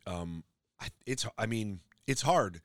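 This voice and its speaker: Low-voiced loud male comedian guest. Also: lively intonation, slow pace, no room sound, clean studio sound. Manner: rambling storyteller, many restarts, loud voice. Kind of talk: casual interview chat.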